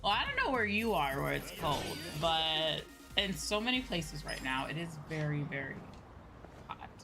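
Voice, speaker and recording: Casting: female VTuber streamer, slightly low-pitched, casual and rambling, with music clearly audible behind her. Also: very animated, normal speed. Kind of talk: casual livestream chat. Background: background music.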